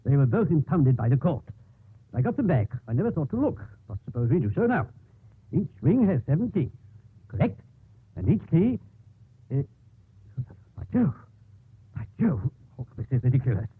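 An actor playing a fussy, flustered pharmacist in a 1950s radio drama, stammering and apologetic, with a husky voice. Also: male voice, a little high, sing-song, moderate pace, long pauses, no room sound, exaggerated voice. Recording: muffled 1950s radio broadcast recording.

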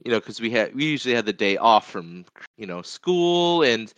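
A man's voice: medium pitch, sing-song, moderate pace, fairly bright tone, clear, resonant tone, no room sound, dull audio. A man co-hosting a podcast in casual conversation, talking in a rambling way and repeating phrases as he goes. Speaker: podcast co-host. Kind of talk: casual movie podcast chat.

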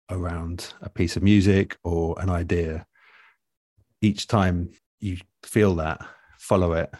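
A man in a relaxed interview, explaining things in a thoughtful, measured and earnest way as an experienced producer. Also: low voice, dry recording, clean studio sound. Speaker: interview guest, audio drama producer. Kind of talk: relaxed advice-giving conversation.

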